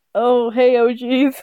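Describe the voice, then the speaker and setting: A woman's voice: fairly high pitch, mellow, dry recording. A woman streaming a visual novel, giggly and amused, reading text aloud casually and stumbling over it, game music behind her. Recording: slightly dull sound, game music behind.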